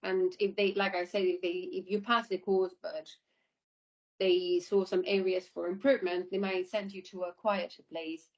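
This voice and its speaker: A woman's voice: fairly low pitch, flat delivery, normal speed. Woman explaining her job in an interview, repeats herself a bit, dull video call sound. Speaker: air traffic controller being interviewed. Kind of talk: informal interview answers. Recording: dull lo-fi online call audio.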